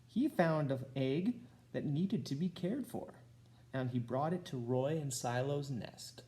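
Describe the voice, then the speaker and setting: Low, mellow woman reading a storybook slowly. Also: expressive. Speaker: school staff member reading aloud. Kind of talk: children's storybook read-aloud.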